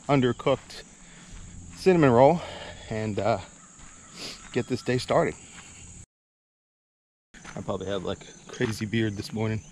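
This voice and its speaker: Man, lower pitch, casual vlog chat, laid-back, rambling, campground setting, faint insect and outdoor noise. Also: darkish tone. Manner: laid-back, rambling, chuckles while talking. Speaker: vlog host.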